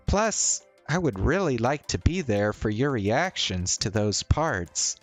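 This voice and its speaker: A man's voice: mid-range voice, very animated, slow, soft background music. A man voicing a friendly, bookish young woman in a visual novel, reading her lines like an eager, teasing storyteller.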